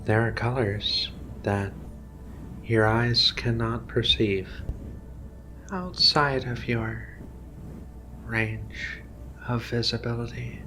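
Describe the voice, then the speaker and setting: A man speaking softly and reassuringly in an ASMR roleplay as a gentle, philosophical alien researcher. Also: mid-range voice, steady tone, soft background music, low-quality recording. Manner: soothing, unhurried, reassuring. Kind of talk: soft-spoken ASMR roleplay.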